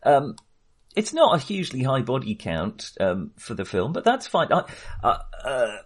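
A man's voice: mid-range voice, huge pitch swings, moderate pace, husky. A man in a casual film discussion, thinking aloud hesitantly and often correcting himself. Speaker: film podcast host.

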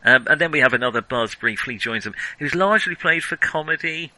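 A man's voice: medium pitch, animated, brisk, sharp tone, no echo, rough recording. A man talking casually and thoughtfully about films as a podcast host, often hedging as he goes. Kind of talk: casual film discussion.

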